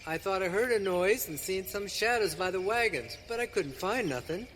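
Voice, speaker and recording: An actor playing a jittery, suspicious wagon-train cowhand with an Old in a live radio western. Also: male voice, high-pitched, expressive, moderate pace, fairly bright tone. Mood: anxious. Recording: reverberant hall, faint background noise.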